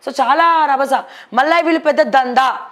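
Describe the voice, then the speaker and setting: A woman's voice: high-pitched, normal speed, a bit bright. A woman with a Telangana accent presenting news headlines with informal, outspoken commentary and some English words mixed in.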